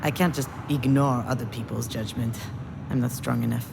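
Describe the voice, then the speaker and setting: A woman's voice: very deep voice, steady tone, normal speed, vocal fry, noisy background. An actress playing a vulnerable, wry, grieving woman writer in a narrative video game, reflective and confiding in a naturalistic style. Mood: tender, reflective.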